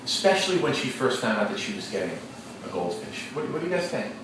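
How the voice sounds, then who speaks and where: A male teacher reads a story aloud to a class, slowly and deliberately, and stops to think aloud and ask questions. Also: mid-range voice, sing-song. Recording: echoey classroom from far off, background noise.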